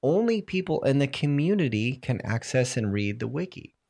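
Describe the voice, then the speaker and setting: A man's voice: mid-range voice, big pitch swings, normal speed, darkish tone. Man hosting a tech podcast with a cold. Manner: clears throat now and then, fillers. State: has a cold, voice strained. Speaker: podcast host. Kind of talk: solo tech podcast explaining software.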